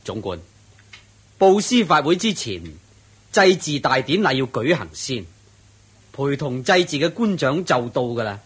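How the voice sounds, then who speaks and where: A man with a Hong Kong Cantonese accent playing a wealthy, pious, courteous householder in a radio drama, in a husky voice. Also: medium pitch, sing-song, exaggerated voice. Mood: masterful, attentive. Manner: courteous, measured.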